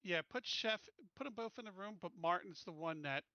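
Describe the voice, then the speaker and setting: A man's voice: high-pitched, normal speed, dry recording, muffled recording. A man taking part in a tabletop roleplaying game as a player, chatting casually about his character's moves.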